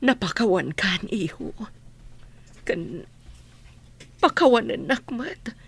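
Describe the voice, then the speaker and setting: Woman acting husky, anguished Filipino mother. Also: medium pitch, big pitch swings, takes it slowly, exaggerated voice. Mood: sorrowful, tender. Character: anguished, loving older mother of a prisoner. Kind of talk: audio drama acting.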